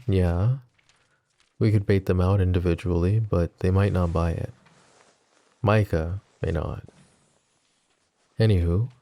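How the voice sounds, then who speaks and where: A man playing a possessive, flirty mafia boss in an intimate ASMR roleplay, murmuring and teasing the listener with unhurried pauses. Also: deep voice, vocal fry, warm tone. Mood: calculating.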